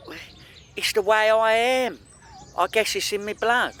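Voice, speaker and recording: An actor playing a naive, boastful petty criminal with a London accent in a comedy radio play. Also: male voice, high voice, measured pace, sharp tone, slight background noise. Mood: sheepish, earnest.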